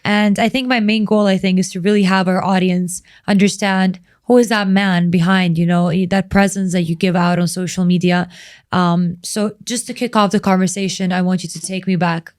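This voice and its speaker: A woman's voice: lowish voice, fairly even intonation, normal speed. A woman hosting a podcast interview, chatty and upbeat, teasing her guest. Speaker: podcast host. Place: Dubai.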